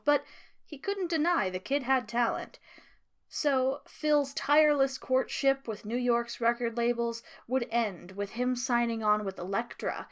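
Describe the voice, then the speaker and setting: A woman in her twenties hosting a podcast, reading scripted narration and chatting casually with guests. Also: on the high side. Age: 20s. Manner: relaxed, laughs often, filler words in chat. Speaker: podcast host.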